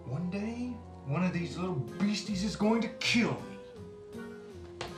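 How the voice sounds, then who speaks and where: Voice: mid-range voice, animated, slow pace, roomy sound, music in the background. An actor playing a witty, weary literature professor with arch, emphatic, theatrical diction in a web series. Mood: exasperated, sardonic. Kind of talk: scripted web series acting.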